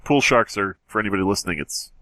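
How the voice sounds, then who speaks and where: A man's voice: on the low side, fast, bright, forceful tone. A man co-hosting a comedy podcast, jokey and sweary, bantering casually and reading a story aloud. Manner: jokey, puts on silly voices, swears. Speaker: podcast co-host.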